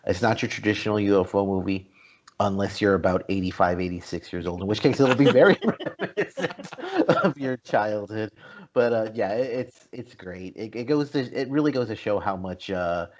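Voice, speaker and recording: A man's voice: on the low side, moderate pace, highs cut off. A man hosting a movie podcast, talking informally in a casual panel discussion. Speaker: host of a movie review podcast.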